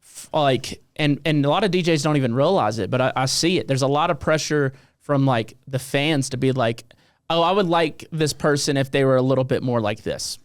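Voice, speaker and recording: A man's voice: medium pitch, fairly quick, clean tone, dry recording, clean studio sound. A man from Mississippi with a Southern US accent, hosting a casual chat in a laid-back, slangy, swear-heavy way. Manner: laid-back, slangy, swears a lot, calls people dude. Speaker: host.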